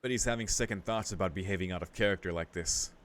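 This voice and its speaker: A man's voice: low-pitched, quick pace, fairly bright tone. A man streaming a visual novel, reading the story text aloud and adding casual, musing commentary with some swearing.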